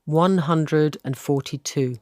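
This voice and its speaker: A man's voice: a little high, takes it slowly, a little mellow, no echo, clean studio sound. A man reading scripted narration for a narrative radio documentary. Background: music bed.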